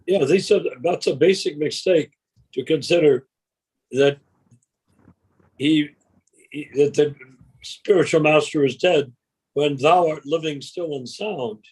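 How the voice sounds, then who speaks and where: A man's voice: on the high side, measured pace, pauses often, a little mellow, husky, rough recording. A male co-host on a video call, speaking wryly and arguing his point with sarcastic jokes. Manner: wry, argumentative, sarcastic jokes. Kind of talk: casual video-call discussion.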